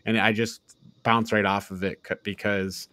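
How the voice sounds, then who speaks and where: A man's voice: lowish voice, flat delivery, moderate pace, pushed and bright, no room sound. A man hosting a casual film review podcast, speaking bluntly and informally. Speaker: podcast host.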